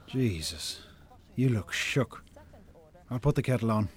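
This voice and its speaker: An actor playing an exasperated, dry-tongued Irish brother chiding a drinker in a naturalistic radio drama. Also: male voice, medium pitch, expressive, unhurried, lightly acted. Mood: dry, weary.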